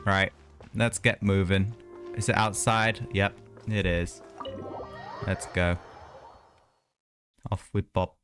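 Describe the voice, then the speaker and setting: A man's voice: low voice, steady tone, slow pace, long pauses, fairly bright tone, faint music behind. A man giving casual live commentary on a game, chatty and easygoing as he reacts aloud.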